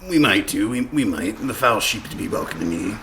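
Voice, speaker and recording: An actor playing a shifty, dim pirate sailor with a stage pirate accent and folksy, rambling speech in a comic radio play. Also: male voice, on the low side, lively intonation, brisk, vocal fry, pushed and bright, a little hiss or hum, heavily acted. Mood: wary, blustering.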